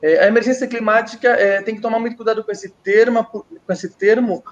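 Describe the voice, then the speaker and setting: Brazilian guy w a high voice presenting on a video call, lots of stops and restarts. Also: lo-fi sound. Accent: Brazilian Portuguese. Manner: hesitant, pauses often to restart. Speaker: guest speaker. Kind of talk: online presentation.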